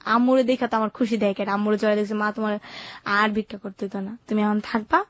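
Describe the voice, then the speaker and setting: A woman's voice: mid-range voice, flat delivery, quick pace, muffled recording. A seventeen-year-old woman with a colloquial Bangladeshi Bengali accent, telling her life story informally and matter-of-factly. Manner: matter-of-fact, unsentimental telling. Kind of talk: informal first-person storytelling.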